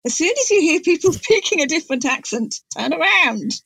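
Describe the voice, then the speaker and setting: A middle-aged woman, explaining things informally in an animated, emphatic, digressive way. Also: high-pitched, fairly quick, no echo. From North Wales. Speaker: guest expert on Welsh language and poetry.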